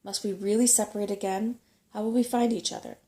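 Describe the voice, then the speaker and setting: A woman's voice: mid-range voice, fairly even intonation, fairly quick, dark tone, a little hiss or hum, natural voice. A woman voicing a small, bossy, grumbling female brownie with an urgent, scolding manner in a storybook. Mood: anxious.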